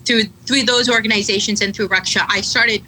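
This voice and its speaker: A woman in her thirties with an American accent answers interview questions in a candid, reflective way, often pausing with "um" and "uh." Her voice is very bright and sits at a middle pitch. Faint background noise runs underneath. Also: steady tone, low-quality recording. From Pakistan, raised in Atlanta. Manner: candid, reflective, many fillers and pauses. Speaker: guest, attorney, nonprofit founder.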